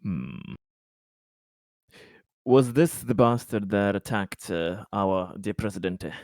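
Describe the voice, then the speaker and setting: A man's voice: on the low side, takes it slowly, a little mellow, no room sound, clean studio sound. A man playing a tabletop game, chatting casually and sometimes slipping playfully into character. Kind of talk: casual chat, some playful in-character talk.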